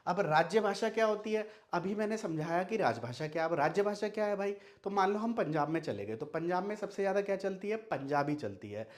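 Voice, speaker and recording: A young man from Bihar whose first language is Maithili, teaching an online Hindi lesson. He asks questions and then answers them himself. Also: high-pitched. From Madhubani, Bihar, India. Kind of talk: explanatory video lesson.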